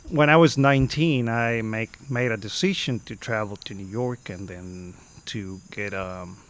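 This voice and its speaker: A man in his fifties from Costa Rica with a Costa Rican Spanish accent, telling stories as a podcast guest and often pausing to search for words. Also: on the low side. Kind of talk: casual storytelling.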